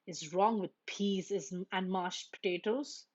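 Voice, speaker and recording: A woman voicing a gruff, easily angered father bear in a children's storybook, blustering and scolding. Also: mid-range voice, slow pace. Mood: stern. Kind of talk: children's storybook read-aloud. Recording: dull, lo-fi sound.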